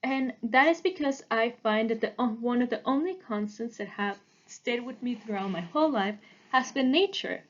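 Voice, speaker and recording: Young woman, twenties, art student giving a prepared talk, earnest and a bit halting, fairly high voice, slightly dull recording. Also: animated. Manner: earnest, halting, with small restarts. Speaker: art student presenting her work. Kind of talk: prepared presentation.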